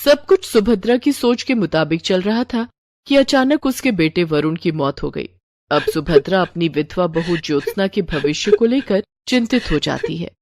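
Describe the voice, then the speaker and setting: A woman's voice: lowish voice, lively intonation, normal speed, darkish tone, no echo, clean studio sound. A woman narrating a scripted moral story as the storyteller.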